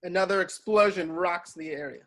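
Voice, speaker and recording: A man with a slightly high voice narrates a screenplay. He reads scene headings and action lines in a scripted table-read style. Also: normal speed, a bit bright. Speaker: narrator reading stage directions.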